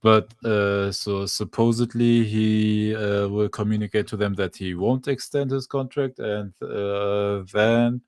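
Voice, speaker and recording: A man's voice: on the low side, steady tone, slow pace, hardly any pauses, darkish tone, clean tone, no room sound, clean studio sound. A man co-hosting a sports podcast, speaking hesitantly with many restarts and fillers. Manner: hesitant, restarts a lot with uhs. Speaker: podcast co-host. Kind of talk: casual sports podcast banter.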